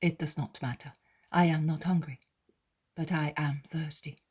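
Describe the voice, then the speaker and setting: A man's voice: on the high side, steady tone, moderate pace, darkish tone, slightly rough. A woman voicing a poor, humbled male beggar who pleads and repents. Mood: pleading. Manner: earnest, pleading.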